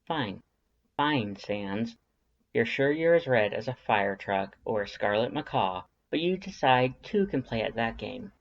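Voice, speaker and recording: A man's voice: medium pitch, expressive, unhurried, slight background noise. A man reading scripted second-person fiction as an audiobook narrator. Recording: slightly dull lo-fi audio.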